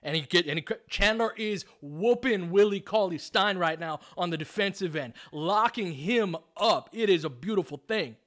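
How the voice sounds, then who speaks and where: A man's voice: sits high, animated, normal speed, few pauses, fairly bright tone. A man doing live basketball play-by-play, speaking in clipped, informal phrases and adding tactical analysis as he goes.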